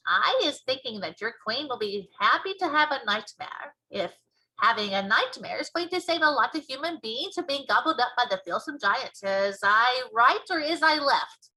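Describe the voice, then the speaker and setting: A woman's voice: fairly high pitch, lively intonation, normal speed, sharp tone, rough recording. A woman voicing a kindly, quirky male giant from a storybook, with folksy mangled grammar and an emphatic delivery. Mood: earnest, grave. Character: big friendly giant, male, earnest, kindly, quirky speaker.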